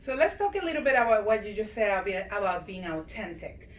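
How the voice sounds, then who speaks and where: A woman's voice: mid-range voice, very animated, a little fast, echoey room, slight background noise. A woman hosting an interview show, asking her guest long, winding questions. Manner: warm welcoming, asks long rambling questions. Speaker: show host and realtor. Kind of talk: interview host.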